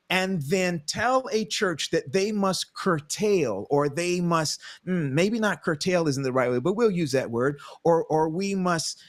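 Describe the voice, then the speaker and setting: A man who pastors a mostly Jamaican church, asking an animated, rambling question on a panel and often restarting to search for words. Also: a little high. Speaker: pastor and co-host. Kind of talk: casual panel talk.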